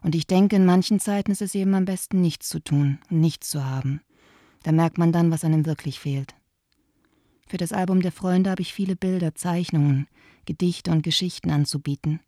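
Soft-toned wistful letter writer. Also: female voice, fairly low pitch, normal speed, no room sound, studio quality. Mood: wistful, wry. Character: wistful, wry woman writing letters to friends. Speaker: radio drama actress. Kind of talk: radio play letter monologue.

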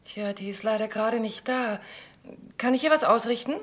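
An actress playing a brisk, motherly studio supervisor in a German radio crime drama, chatty and soothing with an evasive, patronizing streak. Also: fairly high pitch, lightly acted. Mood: guarded, polite.

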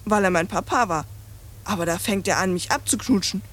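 A woman's voice: medium pitch, fairly quick, natural voice. A woman voicing a precocious, bluntly scornful young French girl in a radio play, telling stories in bossy, chatty slang. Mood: gleeful storytelling.

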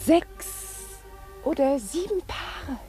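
An actress in a radio play voicing an anxious, deferential female companion, breathy and hesitant. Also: high-pitched, lively intonation, takes it slowly, dark tone, faint music behind. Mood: hesitant, hushed. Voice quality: breathy, often near whispering. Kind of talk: German radio play acting.

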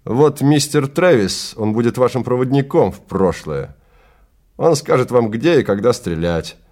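A man's voice: medium pitch, expressive, unhurried, a little mellow, close to an everyday voice. A male actor voicing a brusque, businesslike office clerk in an audio drama, speaking emphatically. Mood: brusque, warning. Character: brusque businesslike male time-safari clerk, talkative and matter-of-fact.

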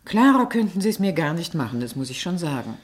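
A poised lady confidante in a vintage radio drama. Her voice is very low for a woman, and she questions with composure and gentle irony. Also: big pitch swings, fast. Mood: dryly ironic. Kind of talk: 1960s German radio play acting.

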